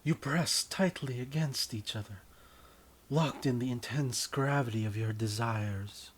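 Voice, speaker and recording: Slow, deliberate male story narrator. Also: mid-range voice, husky. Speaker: writer and narrator. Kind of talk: scripted second-person story narration.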